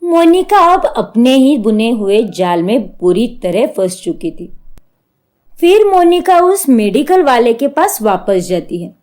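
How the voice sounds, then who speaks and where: A woman's voice: a little high, big pitch swings, measured pace, a little mellow. A woman narrating a scripted story as a storyteller.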